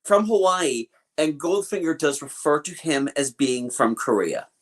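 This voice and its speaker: A man's voice: a little high, animated, unhurried. A man co-hosting a podcast, chatting casually about a film and riffing with plenty of fillers and opinions. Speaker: podcast co-host. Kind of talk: casual film review chat.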